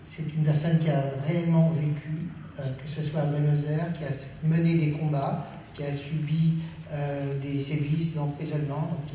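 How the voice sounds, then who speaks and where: A man moderating a stage debate, introducing the guests in a halting way with many fillers. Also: fairly high pitch, dark tone, distant mic, slight background noise. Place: theatre stage. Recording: echoey hall, picked up from afar.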